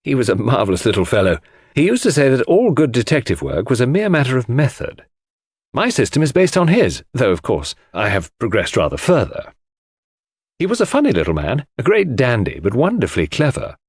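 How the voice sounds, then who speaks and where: A man voicing an earnest, self-satisfied gentleman in an audiobook, eager and slightly pompous. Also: mid-range voice, wildly swooping pitch, fairly quick, breathy edge, no echo, studio quality, exaggerated voice.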